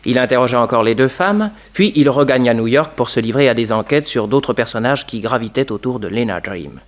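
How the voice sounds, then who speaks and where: An actor as a composed storyteller, giving scripted narration in a 1960s French radio detective drama. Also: male voice, medium pitch, animated, fairly quick, close to an everyday voice. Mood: narrating.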